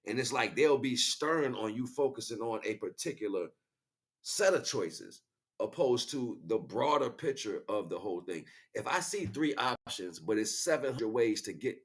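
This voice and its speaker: A man's voice: mid-range voice, animated, moderate pace. A middle-aged man talking casually and conversationally on a livestream, rambling and often checking that listeners follow. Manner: conversational, frequent "you know what I'm saying" tags. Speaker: livestream host. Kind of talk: casual rambling spiritual talk to live chat.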